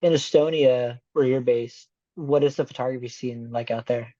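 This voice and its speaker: A man hosting a podcast, asking curious questions in a relaxed, casual way. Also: mid-range voice, normal speed, dry recording. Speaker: podcast host. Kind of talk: casual interview chat. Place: San Diego. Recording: dull dry lo-fi sound.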